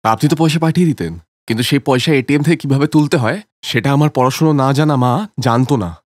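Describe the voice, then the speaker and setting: A man's voice: mid-range voice, fast talker, no room sound, clean studio sound, natural voice. A man voicing a bitter grown son who rebukes his father in a dramatic cartoon story. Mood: bitter, accusing.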